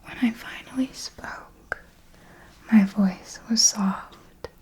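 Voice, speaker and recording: Seductive female vampire played by a woman, predatory, whispered ASMR storytelling, extremely slow lingering delivery, breathy. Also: mid-range voice, mellow, natural voice. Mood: hushed, predatory. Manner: slow, lingering, deliberate phrasing.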